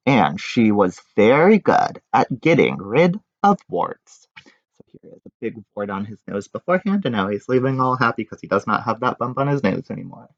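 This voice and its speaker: A man reading a children's picture book aloud, telling it expressively with casual asides. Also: medium pitch. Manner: expressive storytelling. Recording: muffled, echoey room recording.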